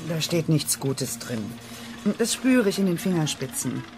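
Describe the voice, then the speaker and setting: Casting: actress as bossy, warm-hearted chaotic mum, children's audio drama, very lively intonation. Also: female voice, low-pitched, measured pace, music in the background. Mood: wary, indignant. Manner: slangy, exclamatory, bossy. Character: feisty, chaotic, warm-hearted mother, middle-aged woman. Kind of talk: German children's radio play acting.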